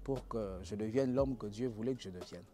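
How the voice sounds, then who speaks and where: A man's voice: on the low side, fairly quick, over loud music. A young man from Congo, a pastor, telling his personal testimony in an earnest, preacherly way, with hesitant restarts.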